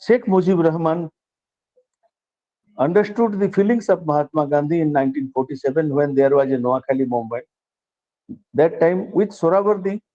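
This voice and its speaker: An older man with an Indian English accent giving an earnest, measured acceptance speech, often restarting his phrases. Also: a little high, warm tone, lo-fi sound. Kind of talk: formal speech.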